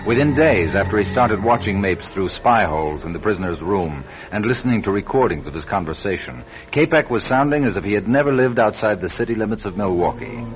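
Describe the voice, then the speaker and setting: A man giving measured, scripted dramatic narration in a vintage radio drama. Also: lowish voice, animated, highs cut off. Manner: measured, storytelling. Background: music.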